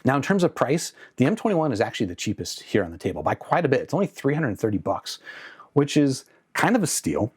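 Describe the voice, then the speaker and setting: A man's voice: medium pitch, very animated, a little fast, a little mellow, slightly rough. A man hosting a tech review channel, talking through products in a conversational, opinionated way. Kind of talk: casual product review to camera.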